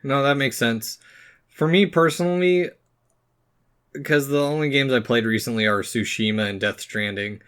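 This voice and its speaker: A man's voice: medium pitch, moderate pace, fairly bright tone, clean tone. Man co-hosting a casual podcast chat. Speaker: podcast co-host. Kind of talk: casual chat about games and movies.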